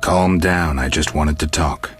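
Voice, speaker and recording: An extremely deep-voiced, gruff monster hunter from a fantasy video game. He is stoic and dry, keeping his words short and deadpan, with some music behind him. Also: a little slow. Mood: calm, probing. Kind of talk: video game dialogue acting.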